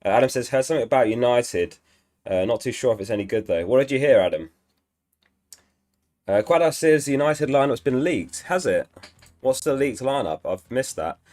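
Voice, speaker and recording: A man hosting a casual live stream, a little hoarse from losing his voice, chatting and reading out viewer messages. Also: mid-range voice, lively intonation, normal speed, darkish tone. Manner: reads out chat messages, chatty and upbeat. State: slightly hoarse, lost voice. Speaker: livestream host.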